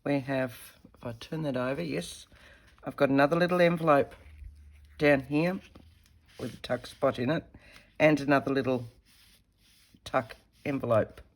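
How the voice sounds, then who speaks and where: A woman, slightly croaky from a head cold, chatting casually as she demonstrates a paper craft while working with her hands. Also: very deep voice, normal speed, pauses often. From Australia. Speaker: craft tutorial host.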